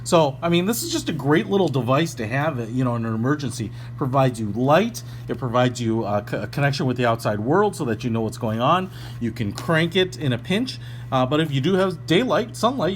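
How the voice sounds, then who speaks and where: A man talks through a product in a casual, off-the-cuff unboxing style, chatty and informal. His pitch sits in the middle range, and a clear hum and noise run under the recording. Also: animated, brisk. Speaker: product reviewer. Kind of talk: casual unboxing review, ad-libbed.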